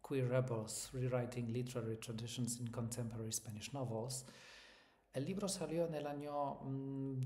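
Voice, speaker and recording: A man from Poland, a native Polish speaker, giving a formal, measured academic book presentation as a researcher. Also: mid-range voice, dark tone. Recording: echoey room sound.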